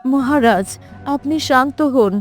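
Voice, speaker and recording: A woman's voice: high-pitched, a little slow, faint music behind. A woman voicing a soothing, worried queen in a fairy-tale cartoon, pleading with her king.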